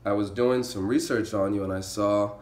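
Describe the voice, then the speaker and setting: Low-voiced man interviewing in echoey room. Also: fairly even intonation, normal speed, darkish tone. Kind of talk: casual conversational interview. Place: living room at home.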